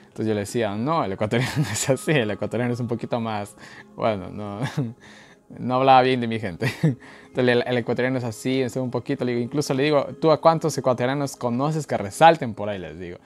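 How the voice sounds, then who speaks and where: A man with an Ecuadorian Spanish accent leading an informal online Bible study in a colloquial, halting way full of filler words. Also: medium pitch. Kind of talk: informal Bible study talk, verse-by-verse commentary. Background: background music.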